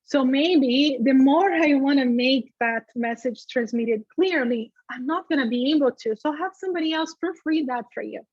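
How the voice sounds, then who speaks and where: Female webinar presenter, native Portuguese speaker. High voice, informal and emphatic teaching style, lo-fi sound. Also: normal speed, hardly any pauses, a little mellow, no echo. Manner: conversational, emphatic, checks in with "okay?" and "right?". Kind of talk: informal instructional webinar talk. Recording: dull, lo-fi webinar audio.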